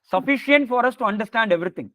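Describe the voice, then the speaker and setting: A man's voice: very high-pitched, expressive, racing, no room sound. A man whose first language is Tamil, patiently explaining philosophical terms in an informal lecture over a video call. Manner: patient, explanatory, gently joking. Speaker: teacher of a lecture series. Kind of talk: informal spiritual lecture with questions.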